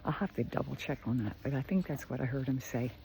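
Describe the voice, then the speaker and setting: A man's voice: mid-range voice, fast, creaky, noisy background. A man with a Philadelphia accent who rambles chattily while filming a travel vlog on a mountain trail, talking into a handheld phone. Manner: chatty, rambling, easily impressed. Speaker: travel vlogger. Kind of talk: casual walking vlog narration.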